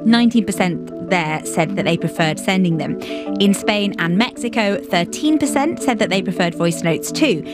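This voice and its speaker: A woman hosting a programme, presenting scripted material and putting questions to a guest. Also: medium pitch. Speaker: podcast host.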